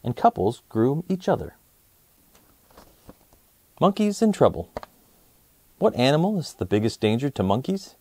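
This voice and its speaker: A man reading a children's nonfiction book aloud as host, in an expressive, clearly enunciated storytelling manner for young listeners. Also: medium pitch, a little slow, long pauses, dry recording.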